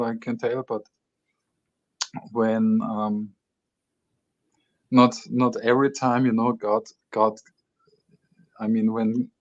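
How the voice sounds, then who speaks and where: Hesitant caller from Austria, dull line. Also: male voice, mid-range voice. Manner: hesitant, many fillers, soft-spoken. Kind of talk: casual call-in chat. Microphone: remote call audio. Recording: soft, dull call line.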